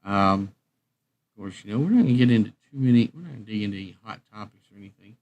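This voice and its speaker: A man's voice: on the low side, lively intonation, quick pace, a little mellow, dull audio, a little hiss or hum. A man hosting a music livestream, chatting casually in a rambling, meandering way.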